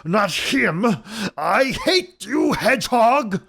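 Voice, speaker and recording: A fat, self-important villain acted out by a man blusters through a comic-book script. He is quick to outrage, and his pitch swings widely as he speaks. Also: big pitch swings, gravelly, pushed and bright, slightly rough, heavily acted. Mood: dread, hatred. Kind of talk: amateur comic-book script read-aloud.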